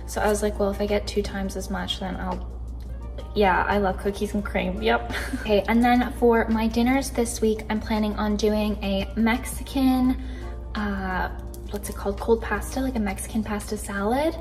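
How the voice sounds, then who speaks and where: A woman's voice: medium pitch, moderate pace, soft background music. Bubbly young woman chatting casually. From Canada. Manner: bubbly, chatty, easily excited. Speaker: vlogger. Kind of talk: casual grocery haul chat. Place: kitchen indoors.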